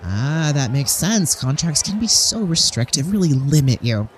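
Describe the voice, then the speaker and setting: A woman's voice: sits low, big pitch swings, moderate pace. A woman playing a showy, self-promoting talk show host in an audio drama, gushing with dry, backhanded politeness. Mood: chatty, probing. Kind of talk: broadcast interview in audio drama. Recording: crowd murmur behind.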